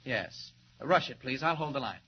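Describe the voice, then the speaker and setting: An actor playing an earnest, confident young reporter with urgent, assured delivery in 1940s radio style. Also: male voice, mid-range voice, animated, moderate pace, close to an everyday voice. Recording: muffled hissy 1940s radio recording.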